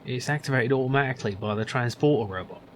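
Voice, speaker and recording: A man voicing a gruff, grumpy older male factory worker, grumbling and dismissive. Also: mid-range voice, quick pace, slight background noise, natural voice. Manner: grumbling, dismissive, calls others son.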